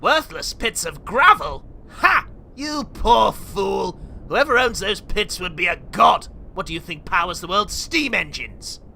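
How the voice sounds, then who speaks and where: A man's voice: high voice, lively intonation, a little slow, gravelly, sharp tone, slight background noise, heavily acted. A man voicing a haughty, foolish, very old tycoon in a comic dub, pompous and melodramatic. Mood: smug. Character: haughty, foolish ancient male tycoon, feuding brother.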